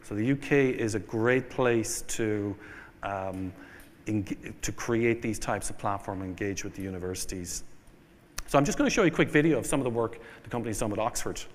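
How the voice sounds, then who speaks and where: A man with an Irish accent giving a conference keynote, setting out points in a measured way with fillers and restarts. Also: lowish voice, a little hiss or hum. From Ireland. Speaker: keynote speaker. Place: conference hall. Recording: long hall reverb.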